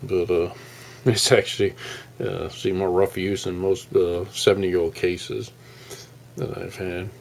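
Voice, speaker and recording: Low, creaky-voiced man, halting and folksy. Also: little pitch movement, a little mellow, slight background noise. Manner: halting, rambling, folksy explaining. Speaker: hobbyist radio restorer presenting his project. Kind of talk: casual unscripted hands-on demonstration.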